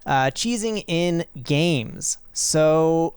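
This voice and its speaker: A man hosting a casual gaming podcast, playful and rambling, clearing his throat now and then. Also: on the high side, expressive, extremely slow, no room sound. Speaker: podcast host.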